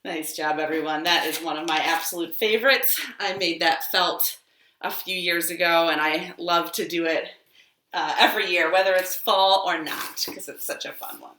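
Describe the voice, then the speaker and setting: A woman's voice: on the low side, steady tone, normal speed, bright, forceful tone, slight background noise. A woman leading a children's storytime with rhymes, talking in a sing-song way to small children in a living room. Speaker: children's librarian hosting storytime. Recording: roomy, echoey home recording.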